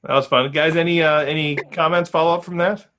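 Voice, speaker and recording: A man hosts a casual podcast chat in a fairly high voice, friendly and joking. He keeps the talk moving and wraps up the segment easily. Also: steady tone, brisk, bright, forceful tone, a little hiss or hum. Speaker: podcast host. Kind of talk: casual interview hosting.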